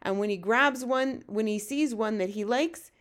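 A woman voicing a gentle, earnest giant in a children's storybook, explaining things in quaint, muddled grammar. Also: a little high, moderate pace, close to an everyday voice. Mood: relishing, explaining.

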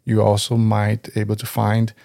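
A man in his thirties co-hosting a podcast, talking in a steady, conversational and slightly halting way. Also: fairly low pitch, gravelly, no echo, clean studio sound. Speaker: podcast co-host. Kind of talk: scripted podcast talk.

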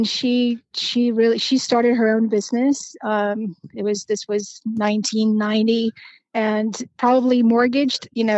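A woman in her forties, originally from Romania, talks through her story as a podcast guest. Her fairly high voice stays level and even, and she pauses often with ums and restarts. The sound is dry and a little muffled. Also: no room sound. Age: 40s. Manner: reflective, with frequent ums and restarts. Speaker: podcast guest, financial planner. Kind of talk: conversational interview.

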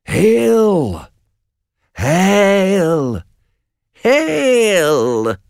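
High eerie witch chorus from a male narrator, slow drawn-out hails, distant and uncanny, dry sound. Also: huge pitch swings, vocal fry, darkish tone, clear, resonant tone, dry recording, heavily acted. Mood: eerie chant. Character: three eerie witches chanting prophecies.

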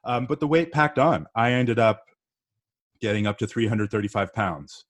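A middle-aged man from the northeastern US, a podcast guest telling his story in a relaxed, chatty way with frequent ums and uhs. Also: mid-range voice, normal speed, no room sound, studio quality. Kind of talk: casual interview storytelling.

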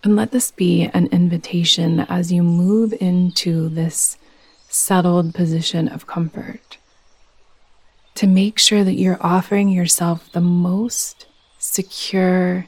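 A woman leading a guided meditation as a yoga nidra teacher, speaking in a soothing, gentle, unhurried manner. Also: fairly low pitch, fairly even intonation, breathy edge.

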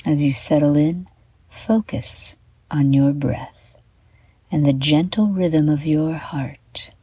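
Very soft, breathy, slow-speaking woman. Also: low voice, no echo. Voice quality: soft, breathy, half-whispered. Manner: gentle, soothing, deliberate phrasing with frequent pauses. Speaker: meditation guide and show host. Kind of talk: guided bedtime meditation for children.

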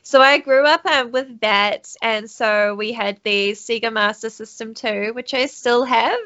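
A woman's voice: on the high side, unhurried, pushed and bright, dull audio. A young woman from New Zealand with a New Zealand accent, chatting as a podcast guest, laughing often and restarting her sentences. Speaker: guest, studio CEO and streamer. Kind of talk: casual podcast interview.

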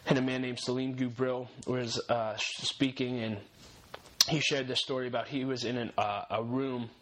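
A man's voice: mid-range voice, flat delivery, moderate pace, fairly bright tone, a little hiss or hum. Earnest young man giving faith-based encouragement. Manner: earnest, encouraging, repeats key phrases for emphasis. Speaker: podcast host.

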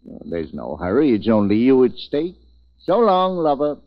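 An actor playing a boozy, sardonic ex-doctor in a 1940s radio drama, with a florid, mocking delivery. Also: male voice, medium pitch, wildly swooping pitch, normal speed, no room sound. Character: boozy, grandiloquent, sardonic male ex-doctor sidekick. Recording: muffled vintage radio recording.

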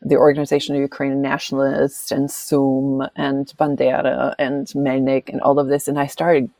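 A middle-aged American woman, an author, chatting in an interview and telling animated family stories, laughing often and acting out the voices of people she quotes. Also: rumbling low, moderate pace, no room sound. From United States. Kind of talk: casual interview conversation.

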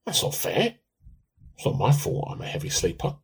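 A man's voice: medium pitch, huge pitch swings, moderate pace, gravelly, husky, full character voice. A man playing a put-upon, dryly sarcastic middle-aged husband in a radio play. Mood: defensive.

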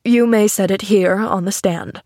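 A woman voicing a gruff, weary but wryly kind older gentleman in a storybook, with a dry, measured, commanding manner. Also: mid-range voice, no echo, natural voice. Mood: calm command. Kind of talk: storybook character acting.

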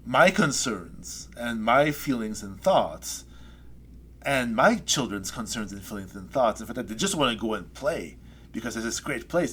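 A middle-aged man, a poet, reading his poem and then talking it over in a thoughtful, hesitant way with many pauses. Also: mid-range voice, a bit bright, slight background noise. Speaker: poet guest. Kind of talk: poetry reading and interview.

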